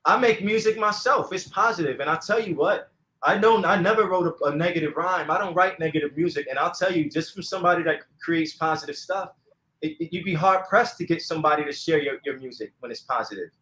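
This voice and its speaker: A man's voice: on the high side, a little fast, sharp tone. A man hosting a casual talk, speaking earnestly and checking for agreement. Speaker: host. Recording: roomy echo.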